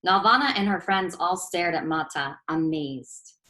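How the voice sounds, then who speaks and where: A woman reading a children's picture book aloud as a storyteller, expressive and clearly phrased. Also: on the low side, measured pace, rough recording.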